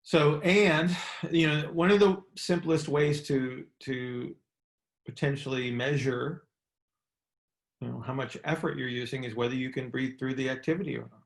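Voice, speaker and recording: Gravelly man coaching in a roomy space. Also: medium pitch, fairly even intonation, moderate pace. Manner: explanatory, checks in with rhetorical questions. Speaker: coach and mentor hosting a group call. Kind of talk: conversational expert advice. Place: indoor room, on a conference call.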